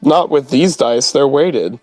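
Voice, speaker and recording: Male tabletop player, mid-pitched, close dry sound, casual banter. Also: expressive, slow, darkish tone, dry recording, soft background music.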